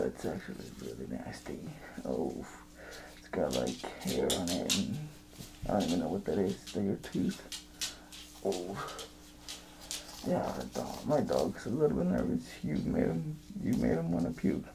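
Creepy evil jester done by a guy, croaky muttering asmr horror thing, rambles and dithers, pitch wanders all over. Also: sing-song, noisy background, full character voice. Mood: dithering, menacing. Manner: halting, muttering, rambling, often breaking into whispers.

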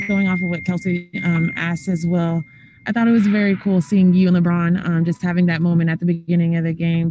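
A woman's voice: lowish voice, mellow, highs cut off. A female sports reporter asking long, rambling questions over a remote call. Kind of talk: press conference questions.

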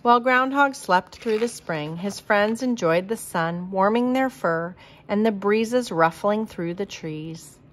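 A woman reading a children's storybook aloud in a deliberate, expressive manner. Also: medium pitch. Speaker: storybook reader.

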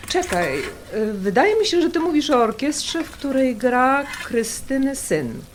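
A woman's voice: high voice, very animated, unhurried. A woman playing a wistful, prickly middle-aged artist in a naturalistic radio drama, sighing and a little defensive. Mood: chatty. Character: wistful, prickly artist, sensitive elder-daughter type. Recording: background noise of a kitchen scene. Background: kitchen clatter, pots and dishes.

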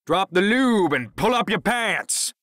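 Guy doing a loud bro-ish big brother in his late thirties, jokey, audiobook, pitched up from his own voice. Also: brisk, no room sound, studio quality, close to an everyday voice. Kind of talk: audiobook character acting.

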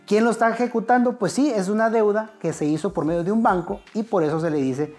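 Mexican man, middle-aged, whiteboard lesson, conversational, soft music underneath. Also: high voice, fast talker. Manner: conversational, addresses viewer directly, explains step by step; standing and gesturing at a whiteboard. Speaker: real estate educator. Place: indoor studio set.

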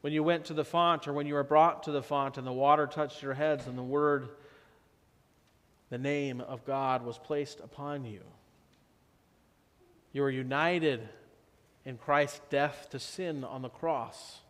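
A man in his thirties preaching a sermon as pastor, slow and measured, often dropping to a softer tone for emphasis. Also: medium pitch, fairly even intonation. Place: church sanctuary. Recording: long church reverb.

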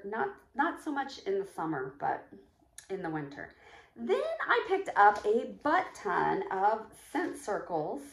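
A middle-aged woman chatting casually to camera at home, rambling and counting items aloud as she shows a shopping haul. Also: medium pitch. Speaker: vlogger showing a shopping haul. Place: echoey room at home. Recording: echoey home room, faint noise.

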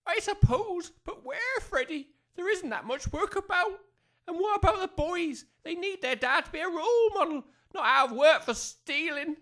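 A woman's voice: very high voice, animated, moderate pace, a bit bright. A woman playing an exasperated working-class wife and mother with an English accent, scolding in colloquial speech in a comic audio drama. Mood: worried, nagging. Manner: nagging, colloquial.

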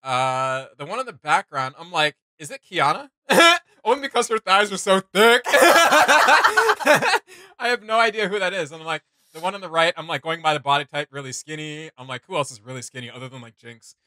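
Man, thirties, slightly high bright voice, slangy, enthusiastic, casual reaction host, dry home recording. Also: sing-song, normal speed, no room sound. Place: small home studio room.

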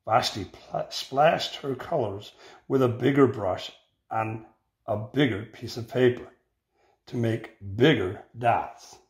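An older man reads a children's picture book aloud in deliberate phrases, recorded indoors on a phone. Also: mid-range voice. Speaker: storybook reader. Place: indoor room with bare walls. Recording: roomy, echoing home recording.

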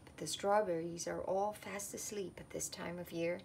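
Woman voicing a homely, gently fussy apple-tree lady. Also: lowish voice, steady tone, normal speed, vocal fry, slight background noise, voice pushed down. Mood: matter-of-fact.

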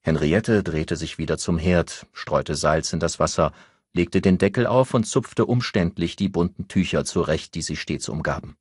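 A man with a very low, husky voice reads a novel as an audiobook narrator. The recording is dry and close, with scripted literary delivery. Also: moderate pace, no echo.

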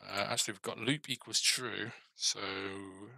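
A man's voice: on the low side, steady tone, measured pace, creaky, bright, forceful tone, no echo. A middle-aged man giving a casual coding tutorial, musing and asking himself questions as he works. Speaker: game developer presenting a coding series. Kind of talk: thinking aloud while coding, casual tutorial.

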